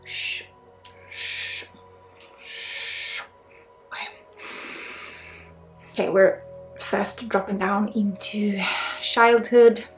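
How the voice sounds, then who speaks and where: A woman with a Swedish accent leading an ASMR energy healing session, soft-spoken with pauses and often dropping into whispers. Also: medium pitch, fairly even intonation. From Sweden. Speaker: ASMR energy healer and spiritual guide. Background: music.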